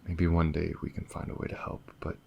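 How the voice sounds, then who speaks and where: A man playing a gentle, wistful alien lover in an intimate ASMR roleplay, speaking softly and hesitantly. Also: rumbling low, monotone, lo-fi sound, a little hiss or hum, full character voice. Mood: wistful, hopeful. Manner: soft, hesitant, breathy pauses and sighs. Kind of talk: ASMR audio roleplay, intimate monologue to the listener.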